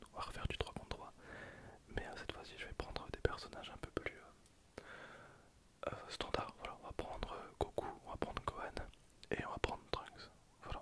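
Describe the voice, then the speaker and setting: A person whispering casual, slangy ASMR commentary over a video game, in breathy, hesitant phrases that trail off. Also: a bit bright. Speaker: host of an ASMR gameplay channel.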